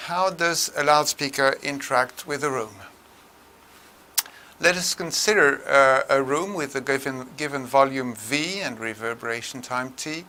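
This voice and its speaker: An older man giving a tutorial lecture in a measured, halting way with frequent pauses. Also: medium pitch, sharp tone. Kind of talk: tutorial lecture on room acoustics.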